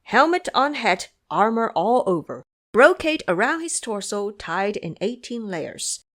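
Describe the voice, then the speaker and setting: A woman narrating an audio drama as a scripted story, with expressive, dramatic delivery. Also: mid-range voice, measured pace.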